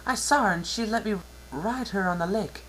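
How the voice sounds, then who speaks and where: Man putting on a high, wailing pony princess. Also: normal speed, fairly bright tone, slight background noise, poor audio. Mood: upset, indignant. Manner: wailing, plaintive. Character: tearful, indignant younger sister pony princess.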